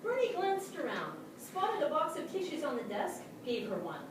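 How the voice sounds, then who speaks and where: A woman in a staged reading narrating as a naive, earnest dog, with expressive comic timing. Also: high-pitched, moderate pace. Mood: earnest, droll. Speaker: narrator in reader's theatre. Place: small theatre stage before an audience. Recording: very echoey theatre, distant, noisy.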